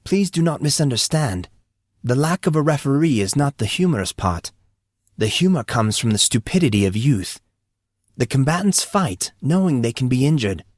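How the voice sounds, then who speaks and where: A man's voice: medium pitch, big pitch swings, moderate pace, dry recording, clean studio sound, pitched above the performer's own voice. A man voicing an amused, courteous school employee who speaks formally and precisely, patiently explaining. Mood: dryly amused.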